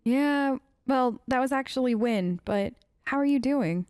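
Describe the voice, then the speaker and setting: A woman's voice: sits high, unhurried, darkish tone, no echo, clean studio sound. A woman hosting a let's play, improvising role-play dialogue and commentary in an informal, conversational way.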